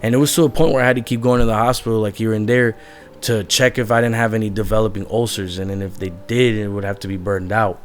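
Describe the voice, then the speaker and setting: A man's voice: on the low side, steady tone, fast, faint music behind. A young man, a musician guest, talking candidly in a rambling way, full of "like" fillers. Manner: rambling, heavy use of "like" as filler. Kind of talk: candid casual conversation.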